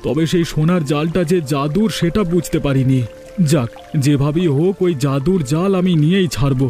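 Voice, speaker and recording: A man's voice: fairly high pitch, normal speed, dark tone, soft background music, natural voice. A man voicing a greedy, scheming rich villager in a cartoon story, with an insistent, blustering manner. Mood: excited scheming. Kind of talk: Bengali cartoon story voice acting.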